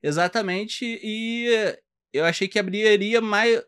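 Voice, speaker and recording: A man from Rio de Janeiro with a Carioca accent, a doctor guest on a podcast, explaining his path in a halting way with many fillers and restarts. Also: high-pitched, a bit bright, clear, resonant tone. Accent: Carioca Brazilian Portuguese. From Rio de Janeiro, Brazil. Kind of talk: casual interview chat.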